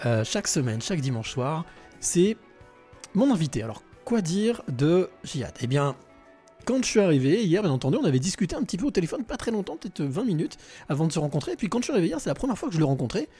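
A man hosting a podcast interview in a large room in a home, speaking warmly and stopping to search for words. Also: a little high, big pitch swings, fast talker, husky, soft background music. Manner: warm, searching for words with repeated restarts. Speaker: podcast host.

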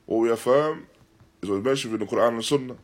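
A man with a slightly husky voice teaching an Islamic lesson in deliberate, halting phrases, restating points with emphasis and reciting Arabic. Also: medium pitch. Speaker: religious teacher giving a lesson. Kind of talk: Islamic lecture explaining a text, with Arabic recitation. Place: live-streamed lesson at an Islamic centre.

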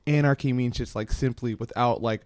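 A man's voice: medium pitch, steady tone, fairly quick, a little mellow, no echo, clean studio sound. A man hosting a podcast in a casual, joking, profane and rambling way. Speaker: podcast host. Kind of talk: casual comedic chat.

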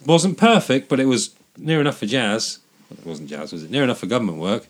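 A man co-hosting a podcast in casual chat, jokey and quick to laugh. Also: medium pitch, very animated. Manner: jokey, laughs often, drawn-out phrasing. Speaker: podcast co-host.

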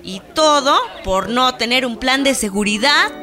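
A woman's voice: sits high, lively intonation, measured pace. An actress playing an anxious young pregnant countrywoman with a Mexican accent in a radio drama. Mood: alarmed, exasperated. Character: Esperanza, anxious pregnant countrywoman helping her cousin. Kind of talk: Spanish-language radio drama acting.

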